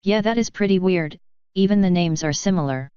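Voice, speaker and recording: A woman's voice: lowish voice, brisk, mellow, clean tone, no echo, lo-fi sound, close to an everyday voice. A woman voicing a curious, sarcastic student of magic from another world, blunt and casual, in an audiobook. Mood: baffled.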